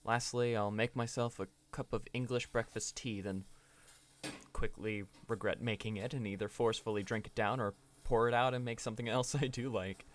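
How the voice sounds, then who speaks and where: A man playing a young podcaster narrating a dreamy, brooding story reflectively, with deliberate dramatic pauses. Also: medium pitch, steady tone, close to an everyday voice. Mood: musing. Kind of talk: scripted first-person narration.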